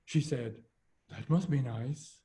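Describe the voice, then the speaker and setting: A man's voice: medium pitch, takes it slowly, vocal fry, warm tone. A man performing a reading of jokes and short comic stories over a video call. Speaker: readers theater performer.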